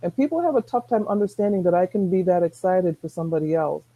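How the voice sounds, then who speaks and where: A middle-aged woman with a soft-spoken voice, talking earnestly as a guest on a video call, rambling with restarts. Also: fairly low pitch, lively intonation, fast, narrow, tinny audio. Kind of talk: casual chat.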